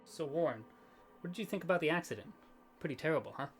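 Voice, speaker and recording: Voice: medium pitch, animated, fast, soft background music, lightly acted. A performer playing a talkative, opinionated grim reaper in an audio drama, conversational and matter-of-fact.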